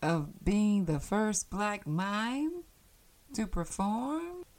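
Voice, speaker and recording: A woman's voice: mid-range voice, lively intonation, extremely slow, darkish tone. An actress playing a curious, polite woman in an audio drama, asking tentative questions.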